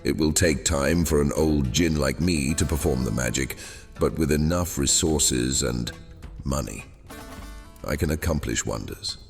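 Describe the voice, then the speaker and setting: A man playing an old, fading captive djinn in a fantasy audio drama, courteous and wheedling, with silky theatrical delivery and hesitant pauses. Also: very deep voice, gravelly, exaggerated voice. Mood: wheedling, crafty. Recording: long cavernous reverb, music underneath. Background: music.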